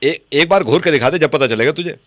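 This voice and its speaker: A man's voice: on the high side, quick pace, dry recording. A man making prank phone calls as a radio host, badgering and repeating himself as if offended. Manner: badgering, repetitive, feigning offence.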